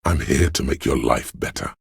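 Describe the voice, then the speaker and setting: Slick, cocky game-character hustler: extremely low, very husky bass, theatrical money-hungry swagger. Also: male voice, normal speed, no room sound, studio quality, full character voice. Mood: smug, scornful. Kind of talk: video game voice acting, dramatic narration.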